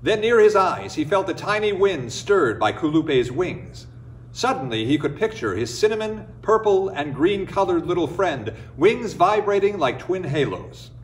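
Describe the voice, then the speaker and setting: A man reading a folktale as a storyteller, with expressive, dramatic and measured phrasing. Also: high-pitched, far from the mic, a little hiss or hum. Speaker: storyteller, reader. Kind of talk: expressive scripted folktale narration.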